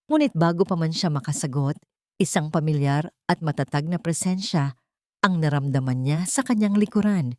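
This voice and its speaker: A Filipino woman narrates a scripted romantic drama as a storyteller. Her voice sits on the low side for a woman, and the recording is dry, with almost no room sound. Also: normal speed, dark tone, no room sound.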